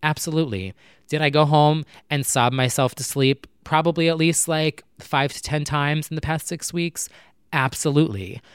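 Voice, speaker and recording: Young guy talking low late at night, smooth clear voice, dry close sound, lots of "like"s. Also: no echo. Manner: chatty, rambling, lots of "like" fillers; talking low and quietly to keep neighbors from hearing. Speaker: vlogger. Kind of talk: casual personal chat to camera. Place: apartment at night.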